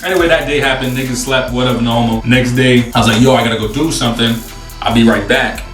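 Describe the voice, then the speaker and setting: A man's voice: medium pitch, fast talker. Roomy-sounding New York man telling stories. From New York City, USA. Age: 20s. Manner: slangy, profane, animated retelling with acted-out dialogue. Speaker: host. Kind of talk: casual storytelling to camera. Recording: roomy echo, distant mic, faint music.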